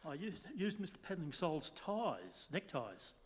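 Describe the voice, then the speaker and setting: A man playing an excitable, slangy newspaper photographer in a radio play. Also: fairly high pitch, a little slow. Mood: proud. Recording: echoey hall, noisy, distant stage recording.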